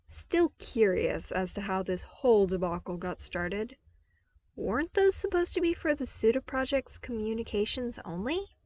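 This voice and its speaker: A woman narrates a piece of fiction. Her pitch sits in the middle for a woman, and she reads from a script as a storyteller. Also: no echo. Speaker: podfic narrator.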